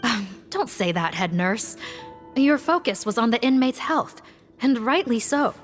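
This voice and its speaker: A woman voicing a dutiful female law officer leading her team in a video game, speaking briskly and firmly. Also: on the high side, expressive. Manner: brisk and duty-minded. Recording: game audio with clear music behind.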